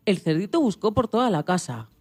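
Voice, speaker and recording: A narrator telling a scripted children's story with expressive delivery. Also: medium pitch, fairly quick, husky, faint music behind.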